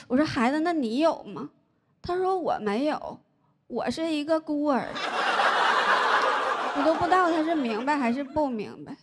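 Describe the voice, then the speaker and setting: A woman, doing a stand-up comedy set into a handheld mic on a large stage, telling stories deadpan and landing punchlines. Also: sits high. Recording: live audience laughter noise. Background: audience laughter.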